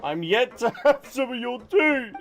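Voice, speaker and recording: A man's voice: very high-pitched, big pitch swings, measured pace. A man doing casual livestream game commentary in a cheeky, reactive way with playful banter. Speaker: game streamer.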